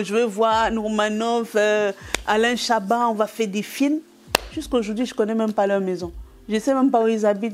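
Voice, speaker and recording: Middle-aged woman, Cameroonian French accent, comedian giving an interview, animated and laughing, mid pitch, faint music underneath. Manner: animated, laughing often, many restarts and fillers. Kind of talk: interview, telling anecdotes.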